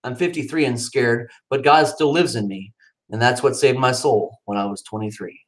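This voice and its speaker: A man at home chatting casually about his cat, then reading his own poems aloud with swooping, dramatic delivery. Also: moderate pace. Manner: casual aside then dramatic poetry reading with pitch swings. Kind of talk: casual chat and poetry reading.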